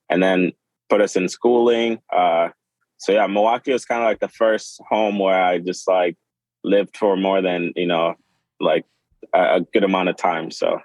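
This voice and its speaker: A man in his twenties, from Sudan and Kenya, tells his story as a podcast guest. His voice sits very low and stays flat, with many fillers and restarts. The sound is dry and close. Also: dry recording, poor audio. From born in Sudan, raised in Kenya. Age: 20s. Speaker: podcast guest, clothing designer. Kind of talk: casual interview.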